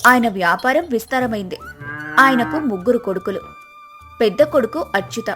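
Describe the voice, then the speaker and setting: Female storyteller, very high pitch, scripted moral-tale narration over faint music. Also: lively intonation, moderate pace, fairly bright tone.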